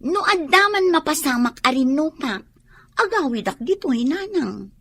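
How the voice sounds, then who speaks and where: A woman's voice: high-pitched, big pitch swings, normal speed, a bit bright, no room sound, exaggerated voice. An actress in a radio drama playing a restless young rural woman eager for city life, with a Filipino accent. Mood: defensive.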